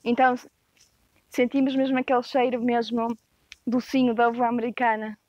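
A young woman with a European Portuguese accent, her voice a little high, guides a listener through the rooms in a relaxed, hesitant way. The sound is dry and dull, like audio from a camera that walks with her. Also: moderate pace, dry recording. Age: young adult. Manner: relaxed and descriptive, with frequent hesitations. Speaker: property tour guide. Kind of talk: casual walkthrough tour. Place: rustic stone farmhouse, indoors and out.

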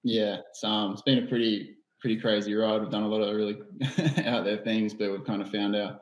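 A man in his thirties with an Australian accent, a filmmaker talking about his work in a relaxed, laid-back way. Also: fairly low pitch, a little fast. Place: studio office. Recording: roomy echo on call.

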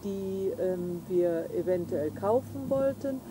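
A woman's voice: on the low side, animated, takes it slowly, noisy background. An older woman co-hosting a camping vlog, chatting casually to camera outdoors with frequent fillers. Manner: chatty, frequent fillers, finishing each other's sentences. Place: outdoors on a campsite lawn beside a camper van. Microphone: camera mic from a few meters.

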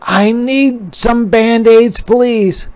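A man's voice: very high voice, very slow, darkish tone, pitched above the performer's own voice, full character voice. A man voicing a small, polite young female spider in an earnest, pleading way for a storybook. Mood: distressed.

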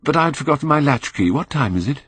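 A young British gentleman, played by a male audiobook reader, speaks briskly and courteously to his servant. He is self-possessed and casual, with a lifted pitch and a dry, close sound. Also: lively intonation, breathy edge, dry recording. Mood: casually apologetic. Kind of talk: audiobook character reading.

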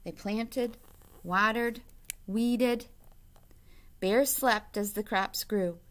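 A woman's voice: fairly high pitch, very slow. A middle-aged woman, a teacher, reading a children's story aloud expressively in a classroom. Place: indoor classroom.

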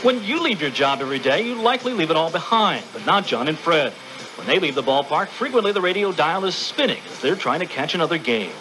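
A man's voice: a little high, sing-song, quick pace, music in the background, rough recording. A man narrating a scripted radio program as its host.

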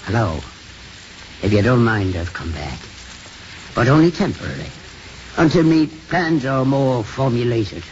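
An actor playing a stubborn, sulky elderly Irish priest in 1950s radio style, halting and repeating his words. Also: medium pitch, sing-song, darkish tone. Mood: meek, flustered. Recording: muffled, noisy 1950s radio broadcast.

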